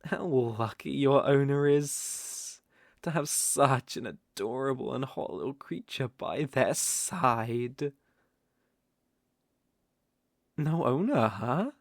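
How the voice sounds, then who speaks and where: A man voicing a coaxing, possessive naga monster in a roleplay ASMR audio drama, with a creaky, teasing delivery. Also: mid-range voice, expressive, no room sound, clean studio sound. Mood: possessive, warning. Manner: slow, coaxing, teasing with soft chuckles. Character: smooth-talking male naga monster, coaxing, possessive predator.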